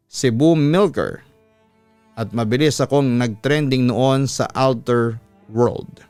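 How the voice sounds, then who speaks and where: A man who hosts a radio show, reading a listener's horror letter aloud in a dramatic, theatrical way, with sudden lifts in pitch. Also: smooth, dry recording. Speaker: radio announcer and host. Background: faint background music.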